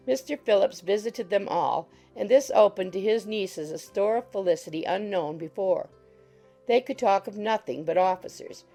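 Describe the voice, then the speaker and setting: Mellow woman narrating an audiobook. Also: on the low side, vocal fry.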